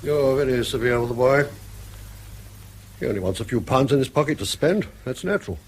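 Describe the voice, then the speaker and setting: A man's voice: medium pitch, fairly quick, a little hiss or hum, lightly acted, low-quality recording. An actor playing a blunt, teasing, cantankerous old English grandfather with a sardonic, needling manner in a British radio drama. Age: older.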